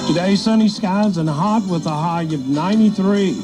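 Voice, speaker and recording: Male weather announcer, high voice, scripted radio forecast over clear background music. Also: moderate pace, rough recording.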